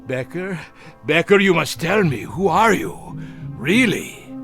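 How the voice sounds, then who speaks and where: Arrogant, blustering older captain, high-strung. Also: male voice, sing-song, slow, vocal fry, music in the background, full character voice. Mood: frightened. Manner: blustering, theatrical, contemptuous. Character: arrogant, panicking former war camp captain, older man. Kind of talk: dramatic television acting.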